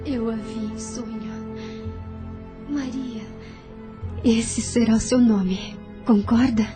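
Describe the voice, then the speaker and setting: A woman playing a gentle, naive young wife and mother in an audio drama, with a soft breathy voice. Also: a little high, steady tone, slow, faint music behind. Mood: frail.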